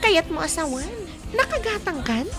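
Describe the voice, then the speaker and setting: A woman's voice: extremely high pitch, sing-song, moderate pace, over music, exaggerated voice. A woman playing a scheming, sweetly affectionate young mistress in an Ilocano radio comedy drama, with an Ilocano accent. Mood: sweet, delighted.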